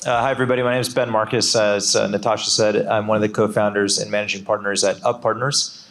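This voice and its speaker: A man with a lowish voice moderates a live panel. He talks in an easygoing, conversational way, often pausing on fillers as he introduces his guests. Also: a little hiss or hum. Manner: relaxed, conversational, with frequent fillers.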